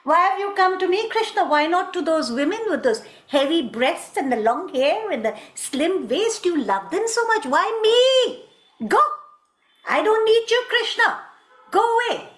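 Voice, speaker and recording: A woman storyteller acts out a jealous young woman, scorned and proud. Her voice is high and swings dramatically as she taunts her lover. The room around her is echoey. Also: very animated, unhurried, full character voice. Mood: hurt, scornful. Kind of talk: theatrical oral storytelling.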